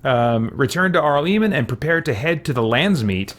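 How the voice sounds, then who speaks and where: A man's voice: a little high, animated, moderate pace, a bit bright. Casual man narrating a video game. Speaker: gaming channel host. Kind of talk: casual gameplay commentary, reading quest text aloud.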